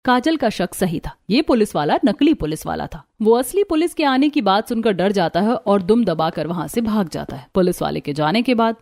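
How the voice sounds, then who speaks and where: A woman's voice: mid-range voice, lively intonation, moderate pace, hardly any pauses, darkish tone. A woman narrator reading a scripted cartoon story.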